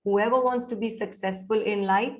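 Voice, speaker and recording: A middle-aged woman with an Indian English accent gives an online soft skills lecture to students. She coaxes them and keeps checking whether they are following. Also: mid-range voice, fairly even intonation, moderate pace. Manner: coaxing, mixes Hindi into English, checks on students often. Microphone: video call.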